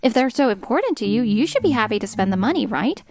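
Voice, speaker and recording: An actress playing a fed-up, sharp-tongued wife in a dramatized manga dub, sarcastic and pointed. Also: female voice, on the high side, very animated, fast talker. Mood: exasperated, pointed. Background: music.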